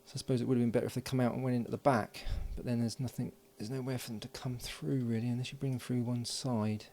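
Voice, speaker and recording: A man talking himself through a hands-on electronics project, halting and thinking aloud with frequent restarts. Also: medium pitch, steady tone, warm tone, a little hiss or hum. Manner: halting, muttering, many restarts and fillers. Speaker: electronics hobbyist.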